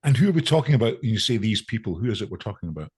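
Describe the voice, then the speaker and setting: A man hosting a podcast, with a low, husky voice and a rapid pace. He probes his guest with pointed questions, tripping over the first words before landing them. He sits slightly off the mic. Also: sing-song, a little mellow. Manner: probing, stammers into questions. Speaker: podcast host. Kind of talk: interview questioning.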